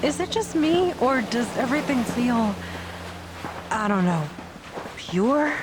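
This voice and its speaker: A woman's voice: on the high side, sing-song, slow, music in the background. A woman playing an earnest, upbeat young adventurer in an audio drama, with natural dialogue delivery. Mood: awed.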